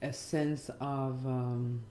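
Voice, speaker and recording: A very slow-speaking middle-aged woman leads a reflective meditation, leaving gentle pauses between phrases. Her tone is soft-edged and mellow. The voice sits in a roomy echo with faint music underneath. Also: a bass voice, little pitch movement, slight background noise. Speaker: meditation guide and hypnotherapist. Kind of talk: reflective guided meditation talk.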